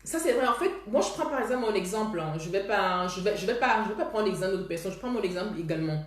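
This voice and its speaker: A woman's voice: mid-range voice, lively intonation, fast. A woman from Cameroon, hosting a casual advice talk for viewers, earnest and often restarting her phrases. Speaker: host. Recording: roomy echoey room.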